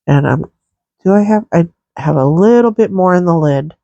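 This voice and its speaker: A woman's voice: fairly low pitch, lively intonation, normal speed, vocal fry, dark tone, no echo, lo-fi sound. A woman with a stuffy, congested nose chatting casually through a makeup tutorial, rambling with many fillers. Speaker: makeup vlogger.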